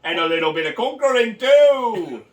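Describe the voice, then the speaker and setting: Man playing a crude British lad with a put-on accent: lively, recorded far off in a roomy space. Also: sits high, moderate pace. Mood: rowdy. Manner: rowdy, crude, mocking. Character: crude rowdy British bloke, adult man. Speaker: podcast co-host. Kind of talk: improvised comedy bit.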